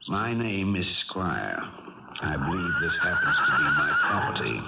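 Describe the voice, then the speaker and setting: An actor playing a genteel older gentleman horse owner, courteous, measured and formal, in a 1970s radio drama. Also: fairly low pitch, wildly swooping pitch, gravelly, exaggerated voice. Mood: courteous, curious. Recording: muffled, distant old radio broadcast, slight noise.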